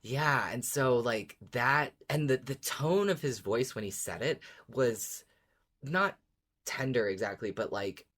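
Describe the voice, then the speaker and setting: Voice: medium pitch, slow pace, a bit bright. A podcast co-host talking casually and enthusiastically, agreeing emphatically and repeating words. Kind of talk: casual fan discussion.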